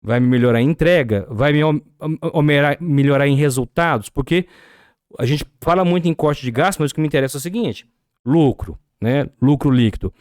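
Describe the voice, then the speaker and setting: A man's voice: fairly high pitch, a little fast, a little mellow, no room sound, studio quality. A Brazilian man with a Brazilian Portuguese accent, a podcast guest explaining business ideas and asking rhetorical questions.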